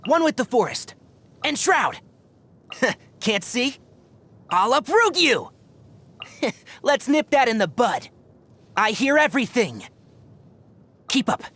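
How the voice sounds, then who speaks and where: A man's voice: very high voice, big pitch swings, takes it slowly, pauses often, fairly bright tone, heavily acted. A man playing a clever young fox-eared forest ranger in a video game, articulate and dryly instructive, with a caring streak. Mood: battle-ready. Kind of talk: video game character voice lines.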